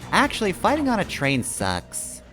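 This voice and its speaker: A man's voice: high-pitched, huge pitch swings, normal speed, fairly bright tone, poor audio. A man giving casual, rambling live commentary while he plays a video game, thinking aloud as he goes. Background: video game music.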